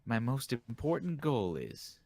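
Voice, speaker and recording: A man's voice: mid-range voice, big pitch swings, unhurried, vocal fry, dark tone, slight background noise. A man casually reading manga lines aloud and reacting as a co-reader in an amateur dub.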